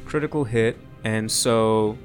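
A man's voice: fairly low pitch, slow pace, soft background music. Playful man running a tabletop game. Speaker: game master. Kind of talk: casual tabletop game narration.

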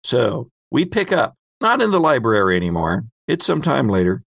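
A man's voice: mid-range voice, wildly swooping pitch, normal speed, gravelly, a bit bright, no echo, studio quality. A man working as game master, narrating and prompting players in a tabletop game.

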